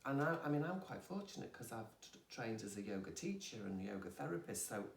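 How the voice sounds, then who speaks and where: A woman speaks with a gravelly, husky edge, her pitch swinging widely as she opens up about herself. She talks frankly and laughs at her own expense. The sound is roomy, as if picked up from a few steps away. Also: brisk, slight background noise. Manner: expressive, self-reflective, laughs at herself. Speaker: trauma healing student and yoga teacher. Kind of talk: candid personal conversation. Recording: roomy sound from a distant mic.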